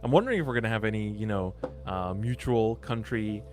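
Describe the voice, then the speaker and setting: A man's voice: lowish voice, lively intonation, a little fast, over music. A man co-hosting a live esports bracket draw, commentating and musing aloud with small hesitations. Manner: thinking aloud, hesitant fillers. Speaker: esports caster.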